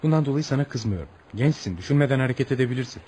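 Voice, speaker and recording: An actor playing a polite, curious American traveller in a radio play, theatrical and musing, with amused asides to himself. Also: male voice, medium pitch, animated, rapid-fire, dark tone, a little hiss or hum, close to an everyday voice. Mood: curious, feigning amazement.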